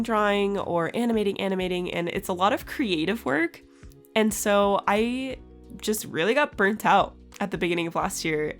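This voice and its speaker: A woman in her twenties with a raspy, scratchy just-woke-up voice, chatting casually in a voice-over for her art channel and laughing often. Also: medium pitch.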